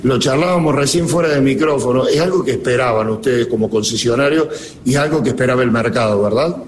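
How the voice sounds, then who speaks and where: A man's voice: medium pitch, quick pace, slight background noise. A man with an Argentine accent, interviewing a guest on radio in a friendly, conversational way. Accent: Argentine Spanish.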